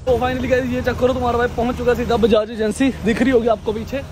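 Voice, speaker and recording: A young man chats excitedly to his viewers while filming himself with a handheld action camera. His voice sits very high and light. Clear street and traffic noise run behind him the whole time. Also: fast. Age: young adult. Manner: excited, addresses viewers as guys and brother. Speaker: motovlogger. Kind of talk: casual vlog chat. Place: outdoors by a roadside and a showroom lot. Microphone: action camera held at arm's length.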